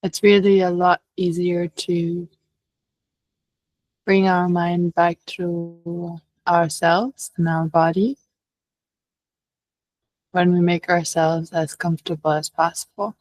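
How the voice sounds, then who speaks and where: A woman leading a guided meditation as a teacher, speaking gently and unhurriedly with long pauses. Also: fairly low pitch, level tone, no room sound, rough recording.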